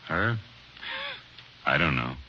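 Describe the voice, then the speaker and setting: An actor playing a gruff, curt ex-convict burglar in a vintage radio crime drama, on a dull old radio recording. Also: male voice, extremely low pitch, lively intonation, slow, bright, forceful tone, a little hiss or hum, heavily acted. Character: sullen male ex-con burglar, gruff and curt. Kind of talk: 1940s radio acting.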